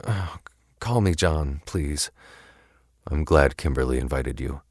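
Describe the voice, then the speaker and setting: A man playing a gentle, grieving middle-aged father in an audio drama, speaking softly and haltingly to console. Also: a bass voice, dry recording, clean studio sound, heavily acted. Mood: tender, sorrowful. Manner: soft, halting, tender.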